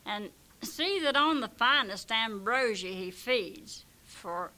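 A woman voicing a stern elderly male saint who keeps a gate and makes indignant, booming pronouncements. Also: expressive, slow pace, pushed and bright, full character voice. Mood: commanding.

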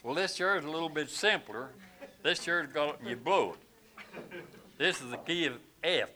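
A man's voice: on the high side, normal speed, bright, forceful tone, soft background music. An older man who directs a church choir, talking in a homespun, plain-spoken way between hymns. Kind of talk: folksy chat between hymns.

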